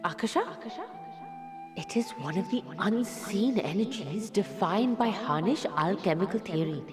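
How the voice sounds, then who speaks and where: Actress as precise female scholar, fantasy game cutscene, medium pitch, formal, explanatory, long hall-like reverb. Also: animated, a little slow, over loud music, natural voice. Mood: studious, explanatory. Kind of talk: scripted video game cutscene acting.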